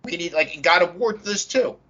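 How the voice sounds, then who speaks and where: A man's voice: fairly high pitch, a little fast, sharp tone, highs cut off. A man in casual, opinionated chat as a podcast co-host about tabletop games, direct and emphatic when giving advice.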